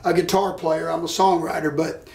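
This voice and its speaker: A man with a raspy, scratchy voice hosting a casual chat, talkative and full of restarts. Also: fairly high pitch, level tone, moderate pace, a little mellow. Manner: chatty, stacks restarts and repeated words. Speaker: show host and songwriter. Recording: echoey room.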